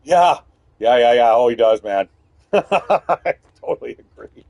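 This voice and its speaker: A man giving loud, rambling, profanity-filled commentary while playing a video game. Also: mid-range voice, expressive, a little slow, vocal fry, dry recording. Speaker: gaming streamer. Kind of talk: casual gameplay commentary.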